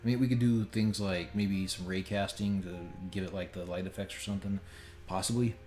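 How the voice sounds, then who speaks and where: A man's voice: sits low, fairly even intonation, brisk, darkish tone. A man giving rambling, opinionated, casually sweary commentary as he streams a game. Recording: roomy sound under game audio. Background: game music.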